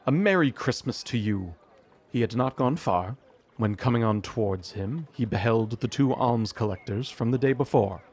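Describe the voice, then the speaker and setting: A man narrating a storybook audio drama in a measured storytelling manner. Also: mid-range voice, lively intonation, a little mellow, husky. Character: omniscient storybook narrator of a Christmas tale.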